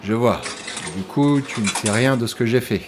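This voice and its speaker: Deadpan male sidekick played by a man. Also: mid-range voice, normal speed, natural voice. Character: deadpan, casual male sidekick, gently mocking. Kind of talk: French audio drama acting.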